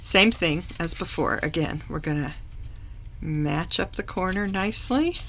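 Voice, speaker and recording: A woman recovering from a cough, slightly hoarse, chatting through a papercraft tutorial as she works and correcting herself along the way. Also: fairly low pitch, unhurried, background noise. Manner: chatty, self-correcting, talks while working. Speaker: papercraft demonstrator. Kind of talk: live craft tutorial.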